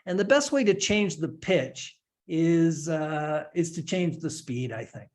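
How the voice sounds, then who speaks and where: Male instructor in his forties, casual tutorial delivery, step-by-step with light humor, slightly high voice. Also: lively intonation, unhurried, a little mellow. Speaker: instructor giving a software demo. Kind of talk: screen-share tutorial, casual explanation.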